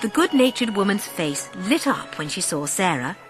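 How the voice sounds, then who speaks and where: Animated woman storyteller over music. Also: medium pitch, moderate pace. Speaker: audiobook narrator. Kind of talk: dramatic scripted storytelling.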